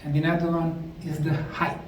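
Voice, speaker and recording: A man with a Bosnian accent giving a deliberate, emphatic lecture to a live audience. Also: on the high side, completely flat delivery. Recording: echoey room, mic far off, faint noise.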